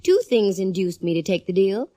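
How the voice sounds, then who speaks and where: An actress playing a sassy, wisecracking young woman private eye in a 1940s radio drama, teasing and quick with comebacks. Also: mid-range voice, dark tone, no room sound, natural voice. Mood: wry. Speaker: private eye lead and narrator. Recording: old radio broadcast with faint hiss.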